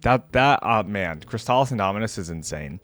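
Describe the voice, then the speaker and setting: A man's voice: on the low side, lively intonation, moderate pace, fairly bright tone, soft background music. A man giving casual, slangy reaction commentary as a video host, thinking aloud as he goes.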